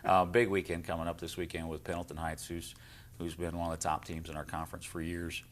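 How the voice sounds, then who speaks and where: Very deep-voiced American man, coach talking. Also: flat delivery, fast. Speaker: basketball coach. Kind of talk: casual sports interview.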